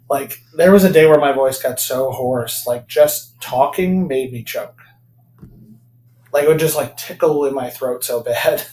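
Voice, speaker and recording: A man's voice: medium pitch, unhurried, darkish tone, roomy sound. A man co-hosting a podcast in casual chat, rambling with fillers and laughing often. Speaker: podcast co-host.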